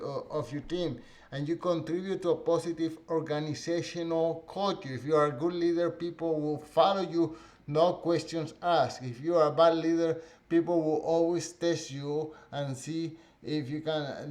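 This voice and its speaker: A middle-aged man who speaks English as a second language, Spanish being his first, talking casually to camera. His voice sits a bit high for a man, and he stumbles through fillers and restarts. The room around him is echoey. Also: a little mellow. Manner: halting, many fillers and restarts. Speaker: vlogger.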